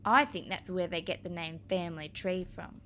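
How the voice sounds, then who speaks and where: A woman teacher reading aloud to young children, explaining as she goes in a clear, expressive manner. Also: on the low side, normal speed, slight background noise.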